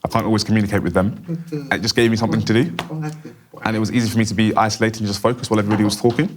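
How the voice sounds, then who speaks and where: A man, voicing a deaf guest's answers in first person as a sign language interpreter, in steady, flowing short clauses. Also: on the low side, rapid-fire, background noise.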